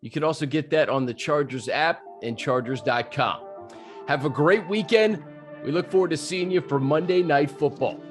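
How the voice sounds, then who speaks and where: Man, podcast host, slightly high voice, friendly, wraps up the show. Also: moderate pace, soft background music. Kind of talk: casual interview and show outro.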